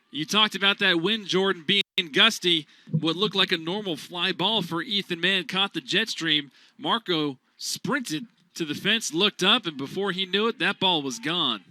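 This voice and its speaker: A man calling a college baseball game as radio play-by-play announcer, steady between pitches and rising loud on big plays. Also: no echo.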